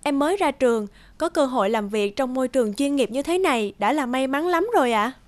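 A young woman voicing a polite, deferential new graduate in an animated series. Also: high-pitched, a little fast, no room sound. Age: young adult. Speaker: new art graduate in a label-cutting job.

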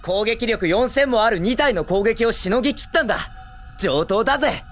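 Anime boy hero: excitable, brash teen, very lively melodic swings, eager exclamations, faint music behind. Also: high voice, normal speed. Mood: fired up. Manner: cocky, eager, exclamatory, boyish speech. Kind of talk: Japanese anime film acting.

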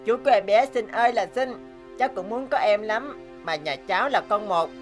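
A woman's voice: medium pitch, normal speed, faint music behind, close to an everyday voice. A woman voicing a polite, earnest and slightly wary young schoolboy in a cartoon. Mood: playful, affectionate. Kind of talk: cartoon voice acting.

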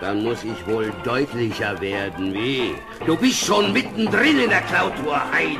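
An actor playing a bossy, scheming small-time crook in a radio play, slangy and needling. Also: male voice, medium pitch, expressive, unhurried, over music. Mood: threatening. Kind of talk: German radio play acting.

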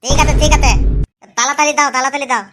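Cheeky cartoon grandson played by an adult: very high-pitched, lisping, stammering, whiny and pushy about getting fed. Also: steady tone, racing, noisy background, lightly acted. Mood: impatient. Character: cheeky, hungry little grandson demanding sweets. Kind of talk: amateur cartoon comedy voice acting.

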